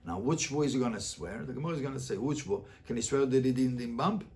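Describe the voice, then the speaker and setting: A man's voice: medium pitch, expressive, quick pace, a little mellow. A man teaching a Talmud class as a rabbi, reading and translating line by line with emphatic questions and repeated phrases. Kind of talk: interactive lesson, reading and translating a text line by line.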